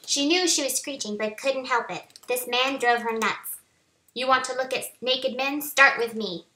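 High, bright helium-voiced woman reading fiction. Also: moderate pace. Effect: voice raised by helium. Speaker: author reading own novel. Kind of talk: reading romance fiction aloud. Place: small home office, indoors. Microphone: webcam at arm's length. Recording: roomy echo.

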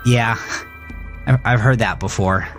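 A man's voice: on the low side, measured pace, over music, pitched above the performer's own voice, close to an everyday voice. A man voicing a socially anxious, embarrassed man in an audio story, with a pleading, awkward manner.

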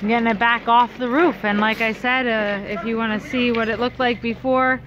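A woman's voice: on the high side, moderate pace. A woman chatting casually to viewers as a farm vlogger, recorded outdoors on a farm with a phone. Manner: relaxed, chatty, addresses viewers directly. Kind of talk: casual vlog narration. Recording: lo-fi outdoor phone audio with loud background noise.

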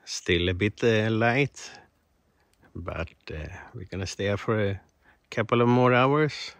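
Low-voiced, slow-talking Swedish man outdoors. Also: fairly bright tone, no room sound. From Sweden. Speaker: fishing and camping vlogger. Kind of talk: casual chat to camera while fishing. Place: outdoors by lakes and a forest campsite. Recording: outdoor noise on camera mic.

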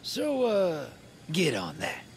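A man's voice: on the high side, wildly swooping pitch, slow, a little hiss or hum, exaggerated voice. A man playing a sly middle-aged drifter in a video game, with a folksy, theatrical drawl. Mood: wry, confiding. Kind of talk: video game character monologue.

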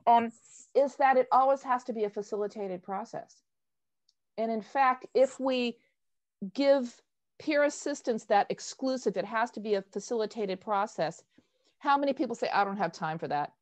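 An older woman facilitating an informal online workshop, speaking in an animated, emphatic, self-deprecating way on a video call headset. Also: on the high side, moderate pace. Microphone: wired earphone headset.

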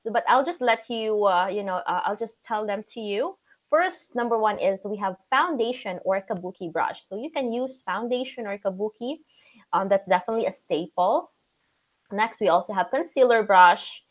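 Raspy filipino woman in her 30s hosting a live video chat, medium pitch, chatty. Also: normal speed. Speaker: host of a live show. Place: home room with shelves.

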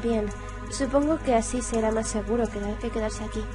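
A woman's voice: fairly high pitch, fairly even intonation, fairly quick. A young woman hosting a gaming video, reading game dialogue aloud. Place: indoor room at home. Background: game music.

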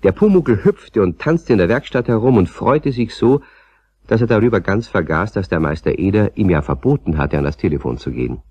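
A man's voice: fairly low pitch, lively intonation, a little fast, warm tone, slightly rough, no room sound. A man narrating a children's radio play in a scripted storytelling style. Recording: dull lo-fi cassette, little room sound.

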